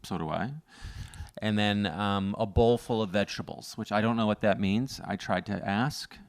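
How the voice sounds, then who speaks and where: A man from Canada co-hosting a casual chat, telling stories and often jumping into loud, high exclamations. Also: normal speed. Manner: animated storyteller, bursts into loud high exclamations and sung bits. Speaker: podcast co-host.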